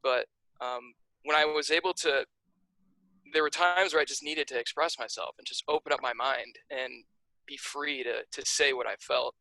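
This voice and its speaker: A young man with a Chicago accent talks through his story as a podcast guest, loose and full of ums and restarts. His voice is bright and forward, and the sound is dry, with no room to it. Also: medium pitch, normal speed, gravelly, dry recording, poor audio. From Chicago area, USA. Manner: relaxed, rambling, many fillers and restarts. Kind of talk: casual interview chat.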